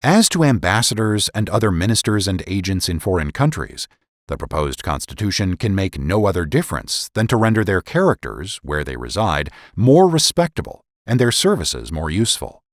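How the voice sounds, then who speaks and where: A man narrating a dramatic reading of an old political essay in a formal, measured, emphatic oratorical manner. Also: deep voice, sing-song, dry recording, clean studio sound. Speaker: podcast host and narrator.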